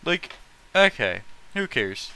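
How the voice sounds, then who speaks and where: Bright-voiced man mocking a story as he reads. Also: mid-range voice, sing-song, slow pace, smooth, rough recording. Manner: exasperated, blunt, incredulous asides. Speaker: host reading fan fiction aloud. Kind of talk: story reading with mocking, sweary commentary.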